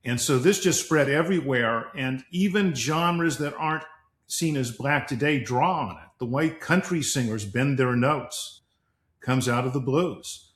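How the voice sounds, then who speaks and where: A man's voice: medium pitch, measured pace, a bit bright. A man speaking as a guest music expert, laying out an explanation in a panel discussion, in a roomy recording. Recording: echoey, roomy sound.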